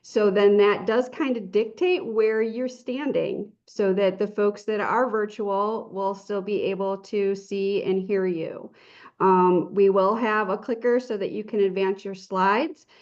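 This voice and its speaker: Woman, mid pitch, practical explainer, away from the mic, muffled audio. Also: steady tone, measured pace, a little mellow, recorded from a distance. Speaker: technology coordinator. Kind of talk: explaining logistics.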